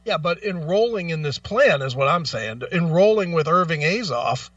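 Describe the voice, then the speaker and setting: A man's voice: on the high side, animated, moderate pace, a bit bright, dry recording, far from the mic, dull audio. A man co-hosting a radio show in casual, opinionated chat, blunt and freely swearing. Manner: blunt, profane.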